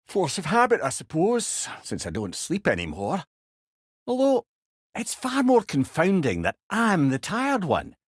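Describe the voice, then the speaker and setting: A wise old Scottish man serves as a video game companion and storyteller. His delivery is eloquent and wry, with a lilting, very animated pitch. The recording is close and dry, with no room sound. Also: slow, slightly rough, no room sound, heavily acted. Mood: amused, chatty. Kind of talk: video game character dialogue.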